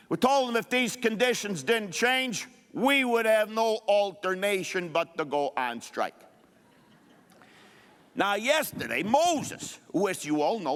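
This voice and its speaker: A man's voice: high-pitched, a bit bright, big-room sound. A man playing a blustery middle-aged union boss who speaks pompously and mangles big words.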